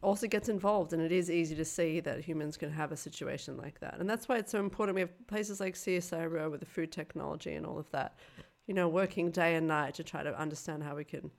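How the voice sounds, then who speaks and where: Woman co-host, low voice with vocal fry, musing casual talk. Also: quick pace, hardly any pauses. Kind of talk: casual chat.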